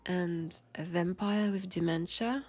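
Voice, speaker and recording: Actress for wary old vampire detective, female, French accent, husky breathy voice, pensive roleplay delivery over background music. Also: lowish voice, slow pace. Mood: reflective, explaining. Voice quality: breathy, soft. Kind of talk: intimate scripted audio roleplay, addressed to listener. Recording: music under the voice.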